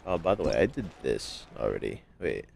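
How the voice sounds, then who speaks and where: A man streaming a video game with casual live commentary, muttering and halting as he repeats himself. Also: rumbling low, very animated, vocal fry, darkish tone, a little hiss or hum. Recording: game music behind the voice.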